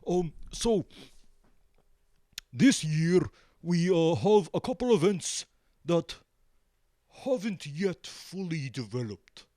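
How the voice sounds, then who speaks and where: A man answering casually as a musician being interviewed, hesitant and pausing often. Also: fairly high pitch, lively intonation, dark tone, no echo, studio quality. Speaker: band member, interview guest.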